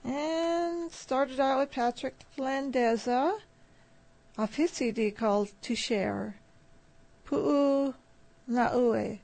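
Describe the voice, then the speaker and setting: A woman radio host back-announcing music and reading a sponsor message in a relaxed, steady way from a studio. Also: high-pitched, drawn-out words, vocal fry. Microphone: studio microphone.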